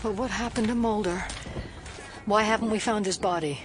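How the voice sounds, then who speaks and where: An actress playing a serious, skeptical federal agent in an audio drama, earnest and clipped. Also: female voice, medium pitch, normal speed, noisy background, natural voice. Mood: puzzled, focused. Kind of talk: naturalistic audio drama acting.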